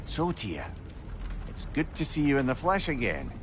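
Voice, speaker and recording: Casting: witty old sage, a talking head, lively inflection, wry and wordy, game noise behind. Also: male voice, medium pitch, moderate pace. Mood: wry, bitter. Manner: wry, florid wording. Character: witty, sardonic, learned old male advisor, a talking severed head. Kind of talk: video game dialogue acting.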